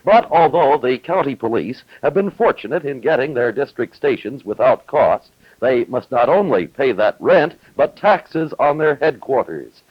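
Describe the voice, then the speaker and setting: A man narrating a scripted 1950s local television news report as its reporter. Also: medium pitch. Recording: dull, roomy 1950s television film soundtrack.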